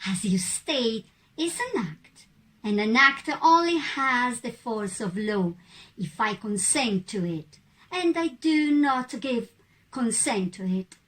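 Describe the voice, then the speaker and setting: Slow, defiant female citizen acted by a woman. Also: on the high side, animated, fairly bright tone, poor audio. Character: defiant citizen challenging police in puppet show. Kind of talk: scripted puppet show dialogue.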